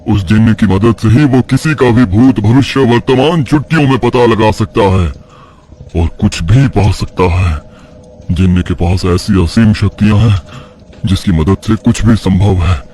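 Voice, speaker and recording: A devout village priest and troubled father, played by a man, recounts his story in an earnest, dramatic way. The voice is rather low and very mellow, suited to a horror audio tale. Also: measured pace, natural voice. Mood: confessional.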